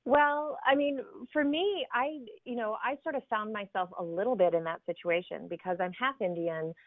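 A middle-aged woman from the southern United States, a cookbook author guest on a radio show, chatting in a relaxed, rambling way with many fillers and restarts. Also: medium pitch, moderate pace, no room sound. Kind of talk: casual radio interview. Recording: tinny phone line, dry.

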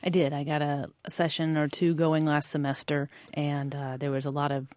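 A woman's voice: low-pitched, flat delivery, normal speed, darkish tone, dry recording. An American woman from Georgia, a college teacher, answering interview questions informally and thinking aloud as she goes. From Georgia, United States.

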